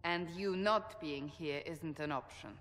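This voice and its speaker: A stern, resolute warrior in echoing reverb. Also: female voice, deep voice, unhurried, a bit bright. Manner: formal, firm, commanding. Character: stern, resolute armored female warrior of a fantasy order. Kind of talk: dramatic fantasy game acting.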